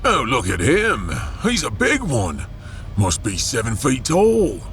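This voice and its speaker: A man playing a rough, defiant refugee ringleader in a sci-fi audio drama, colloquial and taunting. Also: mid-range voice, huge pitch swings, slow pace, a bit bright, heavily acted.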